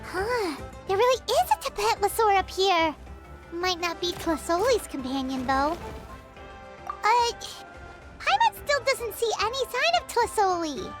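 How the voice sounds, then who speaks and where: A woman voicing a tiny, childlike, excitable fairy companion in a video game, squeaky and exclamatory. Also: measured pace, full character voice. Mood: curious. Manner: exclamatory, refers to herself in third person. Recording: background music under the voice.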